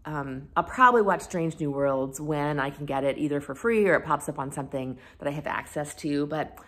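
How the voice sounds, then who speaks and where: A woman's voice: sits low, a little fast. A woman talking casually and candidly to her viewers as a book vlogger, leaning on key words for emphasis. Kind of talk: casual chatty monologue to camera about reading plans.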